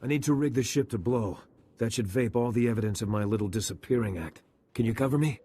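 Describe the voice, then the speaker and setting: Man acting a husky-voiced undercover agent. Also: medium pitch, fast, mellow, natural voice. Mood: wry relief. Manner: wry, colloquial. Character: weary, wry male undercover intelligence agent. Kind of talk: video game voice acting.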